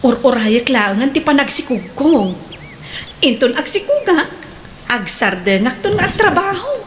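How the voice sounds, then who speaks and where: A woman plays a chatty Ilocano friend in a radio drama. Her high voice swings up and down expressively as she gives her opinions. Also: big pitch swings, normal speed, slight background noise. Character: lively working bride's friend, chatty, opinionated.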